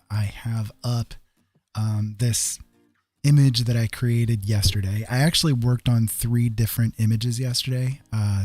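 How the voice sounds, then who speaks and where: A man's voice: mid-range voice, mellow. Rambling male livestreamer over music. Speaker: live art streamer. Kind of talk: casual livestream chat with viewers. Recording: music playing behind the voice. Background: background music.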